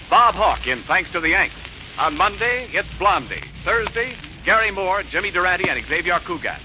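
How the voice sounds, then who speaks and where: Brief: male network announcer, crisp sales pitch, dull old radio sound. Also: slight background noise. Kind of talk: scripted sponsor announcement. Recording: muffled 1940s radio broadcast.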